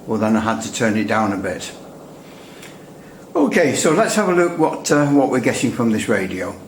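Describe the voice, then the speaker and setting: A man's voice: fairly low pitch, big pitch swings, normal speed, slight background noise. A man gives a casual, unscripted technical demonstration in a chatty, rambling, hesitant way with dry humour. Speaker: radio hobbyist presenting a repair demonstration. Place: indoors at a workbench. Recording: echoey room.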